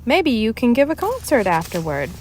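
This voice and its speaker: A mild, curious storybook father, read by a woman in her storytelling voice. The delivery is expressive, with lively rises and falls. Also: a little high, moderate pace, a little hiss or hum, voice pushed up.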